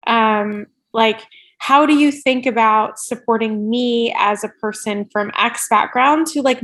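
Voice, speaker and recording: A woman's voice: a little high, a bit bright. A woman giving conversational interview answers as an expert guest, informal, often dropping "like" and "right" into her sentences. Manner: informal, peppers speech with "like" and "right". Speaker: podcast guest, workplace inclusion expert.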